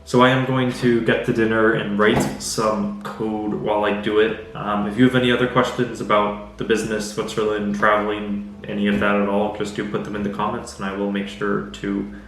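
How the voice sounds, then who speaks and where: A man's voice: lowish voice, normal speed, hardly any pauses, reverberant, a little hiss or hum, rough recording. A young American man from the Bay Area talking casually to his viewers in a vlog. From United States (Bay Area). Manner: relaxed and chatty, talking straight to viewers. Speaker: vlogger and startup founder. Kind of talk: casual vlog talk to camera.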